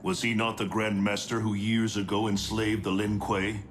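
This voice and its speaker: Man acting a low, solemn warrior leader. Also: flat delivery, slight background noise, natural voice. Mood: solemn, urgent. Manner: formal, deliberate. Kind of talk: cinematic video game acting. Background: faint music.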